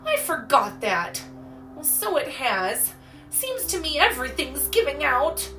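A woman's voice: sits high, very animated, measured pace, sharp tone, soft background music, pitched above the performer's own voice, exaggerated voice. A woman voicing a caring, bubbly little girl in a storybook, with eager, exclamatory, folksy old-fashioned speech. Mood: rueful, eager. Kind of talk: storybook character voice.

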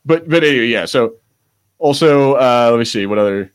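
A man's voice: mid-range voice, animated, brisk, smooth. A man co-hosting a podcast in casual, unscripted chat, rambling, searching for words and breaking off mid-thought, with some swearing. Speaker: podcast co-host.

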